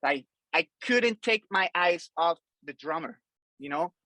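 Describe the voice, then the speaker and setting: Rambling Colombian-born man, bright tone. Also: fairly high pitch, expressive, a little slow, dry recording. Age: 40s. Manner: loose and rambling, many fillers and restarts. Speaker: podcast guest, drummer. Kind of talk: casual interview chat.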